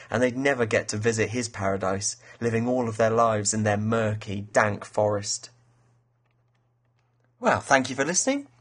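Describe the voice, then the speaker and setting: A man's voice: mid-range voice, a bit bright, breathy edge. A man, an author reading his children's fairy tale as a storyteller.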